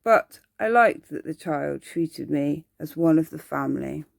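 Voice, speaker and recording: A woman reads a bedtime story in an ASMR style. Her delivery is slow and deliberate, with creaky fry in a mid-pitched voice. The sound is close and dry, and she occasionally stumbles on a word. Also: lively intonation, no echo. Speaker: storyteller reading bedtime stories.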